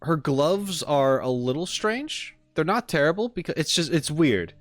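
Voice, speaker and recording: A man's voice: fairly high pitch, very animated, a little slow, no echo, faint music behind. A man chatting casually on a live stream, giving a rambling, informal critique as he reacts to what he watches. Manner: rambling, informal, frequent hedging and "like". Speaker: streamer reacting to game character trailers.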